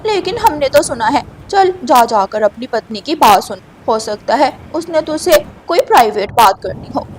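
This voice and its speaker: A woman puts on a scheming crow husband for a children's cartoon. He is loud and bossy, scolding in a high voice. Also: lively intonation, moderate pace, few pauses, slight background noise, poor audio. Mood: sly.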